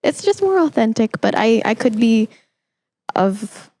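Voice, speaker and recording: A woman's voice: on the high side, very animated, unhurried, a little mellow, no echo. A woman hosting a podcast, talking in a conversational, reflective way as she interviews a guest and shares her views.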